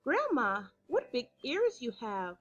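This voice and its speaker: A woman's voice: high-pitched, huge pitch swings, takes it slowly, voice pushed up, full character voice. A woman voicing an innocent, trusting little storybook girl with a naive, eager manner. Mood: amazed. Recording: slightly dull audio, music behind.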